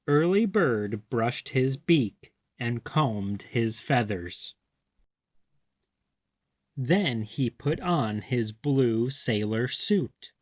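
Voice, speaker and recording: A man reading a children's picture book aloud, clearly and expressively. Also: medium pitch, animated, very slow, no echo, studio quality. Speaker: read-aloud storyteller.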